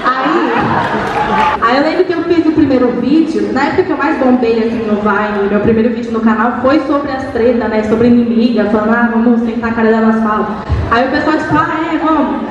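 An eighteen-year-old woman chats in a teasing, slightly shy way. Her voice is fairly high. She is recorded from a distance in an echoey hall, and the sound is a little dull. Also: fairly quick, slight background noise. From São Paulo state, Brazil. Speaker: panel speaker. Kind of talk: casual storytelling on stage. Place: large hall stage. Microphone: handheld mic. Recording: muffled echoey hall from far off.

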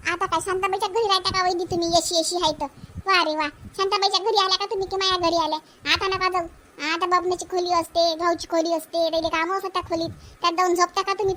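Casting: female actor as teasing cartoon village woman, Varhadi Marathi accent, extremely high voice, slight background noise. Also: sharp tone. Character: chatty, teasing village women at a picnic lunch. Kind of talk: amateur cartoon comedy dialogue.

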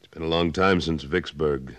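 An actor playing a tough, steady cattleman and war veteran in an old-time radio western, terse and firm. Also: a bass voice, moderate pace.

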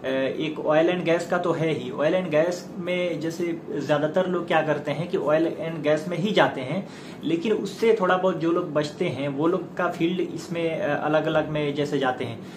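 A man in his thirties speaks in a slightly high voice, explaining things informally as an online presenter. His phrasing is halting, with many filler pauses. The lapel-mic recording is echoey, with clear background noise. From India. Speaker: YouTube presenter giving career advice. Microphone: clip-on lapel mic.

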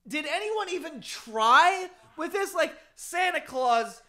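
Young male co-host on a home podcast call, quick wisecracks, high and bright. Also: animated. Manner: wisecracking, swears freely. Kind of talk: casual comedic chat. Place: home room, indoors. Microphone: studio mic on boom arm, wearing headphones, remote call.

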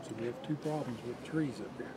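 A man's voice: mid-range voice, fairly quick, crowd noise. A middle-aged man, a park ranger, explaining things patiently to a child on a mountain overlook. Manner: informative, patient.

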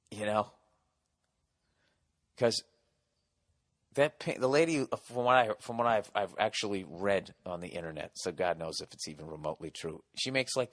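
A middle-aged American man hosting a podcast, ranting sarcastically with lots of swearing, going loud then quiet. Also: on the low side, no echo, clean studio sound. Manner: profane, sarcastic ranting, swings loud and soft. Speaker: podcast host. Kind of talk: ranting solo podcast monologue.

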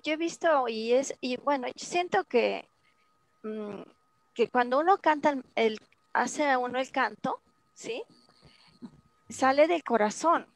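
A woman in her fifties speaks earnestly during an online class, often adding a quick questioning word to check in with the group. Her voice sits fairly high, and the video call sound is dry, with no room echo. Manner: earnest, with frequent tag questions. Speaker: class participant. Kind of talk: sharing a personal view in a class. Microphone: laptop webcam mic on a video call.

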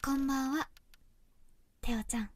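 A woman's voice: sits high, steady tone, normal speed, lightly acted. A woman voicing an anguished young werewolf girl in a voice drama, resolute but emotional. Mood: quiet, tense. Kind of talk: Japanese voice drama acting.

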